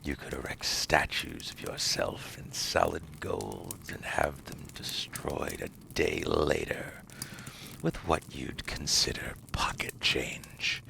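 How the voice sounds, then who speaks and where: A man playing a seductive, cunning devil in an ASMR roleplay, whispering breathily and gravelly in a theatrical, teasing way. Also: very deep voice, huge pitch swings, slow, pushed and bright, full character voice, poor audio. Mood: seductive, tempting. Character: seductive, cunning otherworldly male devil offering tempting deals.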